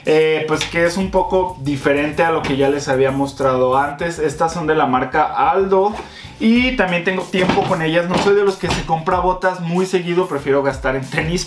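A young man giving a casual, enthusiastic review to viewers he calls friends, seated at a desk indoors. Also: on the high side. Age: young adult. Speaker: fashion vlogger. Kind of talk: casual product review vlog. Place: indoor room with shelves. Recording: echoey room with faint music.

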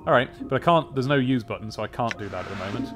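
A man's voice: mid-range voice, lively intonation, rapid-fire. A man in his thirties giving casual, rambling, playfully whimsical gaming commentary and reading game text aloud. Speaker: gaming video host. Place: small home office with desks and monitors. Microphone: boom-arm microphone with pop filter. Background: game music.

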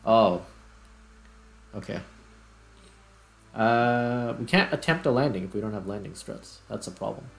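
A man giving casual gameplay commentary as host, thinking aloud in broken, halting phrases. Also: fairly low pitch, vocal fry. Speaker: gaming video host. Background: music.

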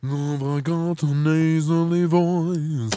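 Guy's test vocal clip, super nasal, really slow and flat, mellow tone. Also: on the high side, soft background music. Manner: slow, flat, deliberately nasal demo speech. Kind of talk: a cappella sample vocal.

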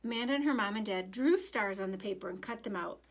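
A woman's voice: medium pitch, fast. A middle-aged woman with an American accent, reading a children's picture book aloud expressively. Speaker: children's story reader. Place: indoors, library room with bookshelves.

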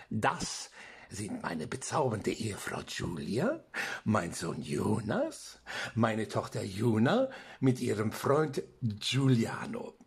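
An actor playing a doting husband and father with a gravelly, husky voice in a children's audio drama. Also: fairly low pitch, darkish tone, exaggerated voice.

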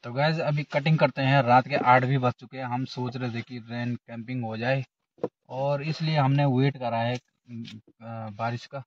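A man's voice: medium pitch, steady tone, measured pace, no room sound, recorded from a distance. A man in his thirties, a camping vlogger, chatting casually to his viewers from inside a small tent. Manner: chatty, addresses viewers as friends. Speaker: camping vlogger, channel host. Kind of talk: casual vlog narration to viewers. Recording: slightly dull lo-fi camera sound.

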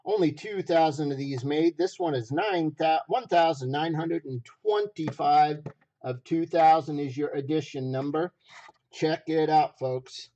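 A man hosting a livestream auction with folksy, repetitive casual sales patter, his voice rough, raw and a little hoarse. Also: a little high, a little slow, dark tone, lo-fi sound. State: slightly hoarse.

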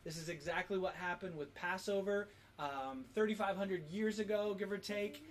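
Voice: medium pitch, normal speed. A parent leading a family devotional and prayer, giving short instructions and prompts. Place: at home with family. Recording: noisy home video recording.

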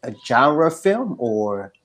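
A man's voice: medium pitch, sing-song, drawn-out words, fairly bright tone. A middle-aged man co-hosting a podcast and putting questions casually to a guest. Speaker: podcast co-host, interviewer. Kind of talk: casual interview chat.

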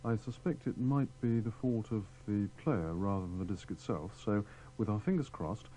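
Low, mellow male classical presenter. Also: rough recording. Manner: measured, politely apologetic, formal.

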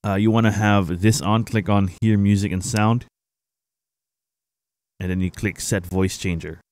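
A young man hosting a casual software tutorial, explaining things informally to viewers on a close microphone. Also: on the low side, steady tone, measured pace, dry recording. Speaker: tutorial host, gaming streamer. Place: indoor home streaming setup. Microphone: close boom-arm microphone.

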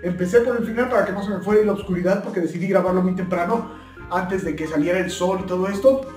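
A man's voice: sits high, steady tone, fast talker, darkish tone, soft background music. A young man speaking in a casual, chatty, folksy way as he hosts a product-test vlog. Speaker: video host. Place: plain indoor room, also outdoors at night.

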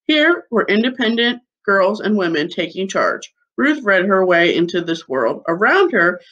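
A woman in her thirties reading a children's picture book aloud like a schoolteacher, with deliberate phrasing. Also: medium pitch, a bit bright, poor audio. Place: living room at home, seated on a couch. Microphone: webcam or laptop mic at about arm's length.

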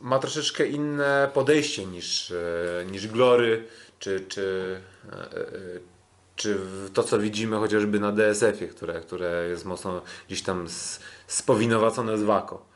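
Podcast host, male, slightly low voice, casual sports analysis, hesitant delivery full of filler pauses. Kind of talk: sports recap commentary, casual chat.

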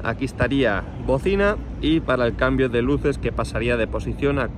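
A man's voice: medium pitch, a little fast. A man from Spain with a Spanish accent, giving an informal, explanatory walkthrough as a workshop host, with chatty asides. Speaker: motorcycle workshop builder and channel host. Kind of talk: casual hands-on vlog walkthrough. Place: outdoors in a paved car park. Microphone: handheld phone held by the speaker. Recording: clear outdoor street and vehicle noise.

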